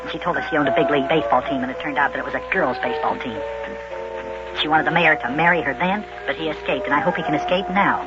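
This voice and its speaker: Excitable high-voiced little boy played by a man. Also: fast talker, close to an everyday voice. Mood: dismayed. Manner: exclaiming, stammering, repetitive. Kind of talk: 1950s children's radio acting. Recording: muffled old radio recording with music.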